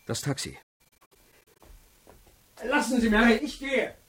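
Anxious father, husky and swooping. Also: extremely high pitch, sing-song, slow, fairly bright tone, full character voice. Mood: agitated, urgent. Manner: agitated, urgent, strained. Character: anxious, exhausted father of a kidnapped boy. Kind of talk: German radio crime drama acting.

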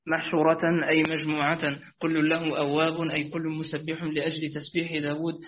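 A man's voice: fairly high pitch, little pitch movement, brisk. Man reading a classical text over a tinny phone line. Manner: careful formal reading with restarts. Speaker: student reading the text aloud. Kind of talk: reading classical commentary aloud. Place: rooftop outdoors. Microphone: phone on a weak network.

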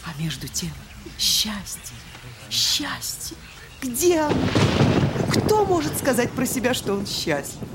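An actress playing an effusive, sentimental society lady in a Russian audio drama, with a halting, melodramatic delivery. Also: a little high, noisy background. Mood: exalted, suffering.

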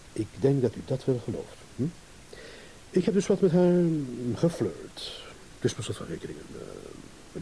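Suave businessman, mellow, animated delivery. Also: mid-range voice, poor audio. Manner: smooth, ironic, measured with deliberate pauses. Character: suave, evasive businessman and ladies' man, athletic. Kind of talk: radio play acting. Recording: hissy old radio play recording.

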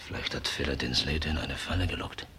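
An actor playing a deferential, wry detective sidekick in a 1960s German radio crime drama. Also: male voice, extremely low pitch, steady tone, fast, pushed and bright, a little hiss or hum. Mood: hushed, curious.

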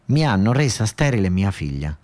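A man's voice: lowish voice, big pitch swings, normal speed, a little mellow. A man voicing an aggrieved talking mother bird, plaintive yet matter-of-fact, in a radio theatre monologue. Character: aggrieved talking bird, a mother mourning her daughter's infertility.

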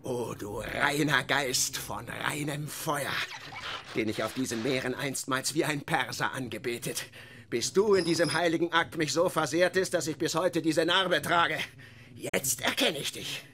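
Fanatical old sea captain, high-strained and gravelly. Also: male voice, a little slow, background noise. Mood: solemn, reverent. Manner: declamatory, commanding, grandiose. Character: fanatical, defiant older whaling captain, obsessed with vengeance. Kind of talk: radio drama acting.

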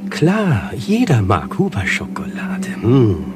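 An actor playing an earnest, excitable playwright who narrates scenes and acts out their dialogue theatrically in a satirical radio play. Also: male voice, medium pitch, slow, music in the background. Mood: theatrical, suggestive. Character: earnest, excitable male playwright pitching his grotesque play.

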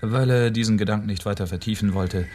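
A man's voice: fairly low pitch, fairly even intonation, fast talker, a little mellow, slight background noise. A man narrating a scripted fairy tale as the storyteller of a radio play. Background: music.

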